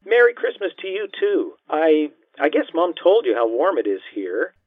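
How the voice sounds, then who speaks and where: A man voicing a reticent, forgiving young daughter with a soft, hesitant manner in audiobook dialogue, through a telephone filter. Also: fairly high pitch, sing-song, normal speed, no room sound, voice pushed up. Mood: shy, forgiving. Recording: thin, band-limited phone-line sound.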